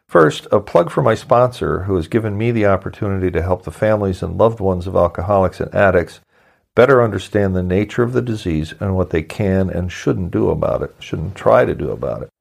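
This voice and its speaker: A man hosting a podcast, reading a sponsor message and then interviewing a guest in an unhurried way with some hesitant fillers. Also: low voice, expressive, gravelly. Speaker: podcast host.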